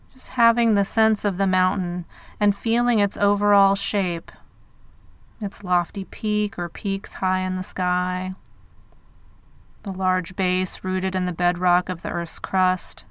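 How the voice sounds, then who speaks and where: A woman guiding a meditation in measured phrases with pauses between them. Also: mid-range voice, fairly even intonation, a bit bright, clear, resonant tone, no room sound. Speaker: meditation guide. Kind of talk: guided meditation narration.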